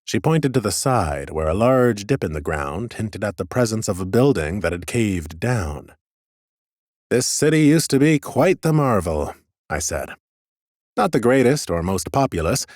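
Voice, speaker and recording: A man narrating a first-person fantasy audiobook in a measured storytelling manner, slipping into dialogue. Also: on the low side, a little mellow, no room sound, studio quality.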